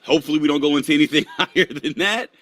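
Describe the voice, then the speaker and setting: A man's voice: rapid-fire, fairly bright tone. A man hosting a live stream, casually walking viewers through market charts and sometimes muttering to himself as he pulls up the next one. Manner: casual, talks to viewers while pulling up charts; drops to a low mutter while searching charts.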